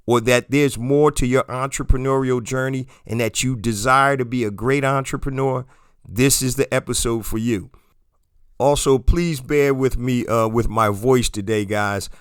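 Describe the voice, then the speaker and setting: Hoarse man hosting a solo podcast. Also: medium pitch, moderate pace, no echo, clean studio sound. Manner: promotional, motivational, addresses listeners as guys. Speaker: podcast host.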